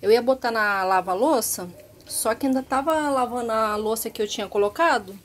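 A woman's voice: a little high, moderate pace. A woman in her thirties from Brazil chatting casually in voice-over about tidying her kitchen, repeating words and adding tag questions. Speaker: home organization vlogger.

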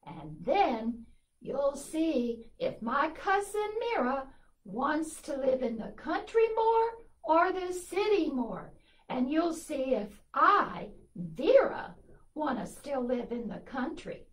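A woman's voice: sits high, very animated, takes it slowly, pitched above the performer's own voice, exaggerated voice. A woman voicing a timid little female country mouse in a puppet show, chatting to children. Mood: chatty, friendly. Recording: roomy, distant from the camera mic.

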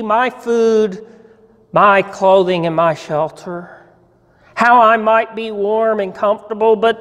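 Man acting humble cobbler, slow and pensive. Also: sits high, a bit bright. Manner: pensive, self-questioning. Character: humble, devout cobbler musing to himself. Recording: hall reverb in a church.

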